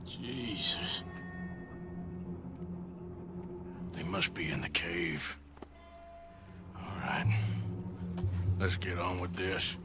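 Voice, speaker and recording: A man's voice: low voice, lively intonation, slow. A man playing a weary, wry outlaw gunman in an Old West video game, with a dry, folksy, drawling way of talking. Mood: low, cautious. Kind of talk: video game cutscene acting. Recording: loud music behind the voice.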